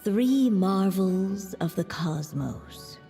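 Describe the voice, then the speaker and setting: A woman voicing a solemn, tender storybook narrator in a video game, telling a fairy tale in a measured way. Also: mid-range voice, animated, warm tone, big-room sound. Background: music.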